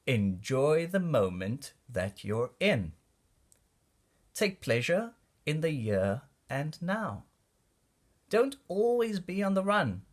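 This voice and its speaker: Slow, lively male storybook reader. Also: fairly high pitch. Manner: expressive, deliberate delivery for young children. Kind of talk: read-aloud storybook narration of rhyming verse.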